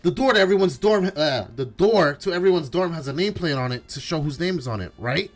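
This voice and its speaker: A man voicing a bombastic, excitable male student in a visual novel, emphatic and yelling, in an amateur over-the-top style. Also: on the high side, quick pace, pitched above the performer's own voice. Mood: urgent, proclaiming. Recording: music behind.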